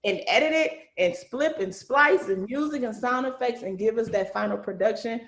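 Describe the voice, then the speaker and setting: A woman hosting a casual video call as a series writer, chatting in an animated, effusive, slangy storytelling way. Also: on the high side, normal speed. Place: indoor room on a video call. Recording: echoey room over video call.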